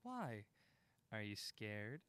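A man's voice: on the low side, very animated, drawn-out words, a bit bright, clean tone, no room sound, exaggerated voice. A man playing a playful, unruffled friend in an audio drama, teasing and nonchalant with a slight stammer. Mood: teasing, daring. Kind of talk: naturalistic audio drama acting.

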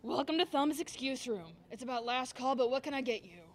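A woman's voice: sits high, animated, a little fast, slight background noise. A woman acting a brassy, flirty, wisecracking bartender in a radio drama.

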